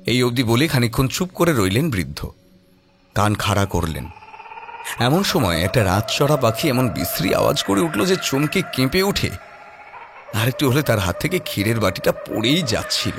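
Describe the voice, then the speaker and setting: A man's voice: mid-range voice, very animated, moderate pace, music in the background. A man narrating a scripted horror audio story in a suspenseful, dramatic manner.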